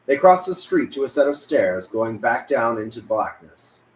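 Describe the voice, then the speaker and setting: A man's voice: mid-range voice, big pitch swings, moderate pace. A man narrating stage directions at a script table read. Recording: echoey room, faint noise.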